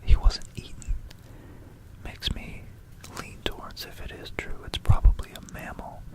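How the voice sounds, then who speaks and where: An ASMR host speaking in a breathy, unvoiced whisper, with a gentle, rambling, hesitant bedtime delivery in an informal style. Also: unhurried. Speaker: ASMR video creator and host.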